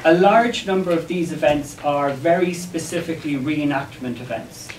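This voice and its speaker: A man with an Irish accent presenting an academic paper in a measured, slightly hesitant way to a seminar room. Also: fairly high pitch. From Ireland. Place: wood-panelled seminar room, indoors. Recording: echoey room, distant mic, background noise.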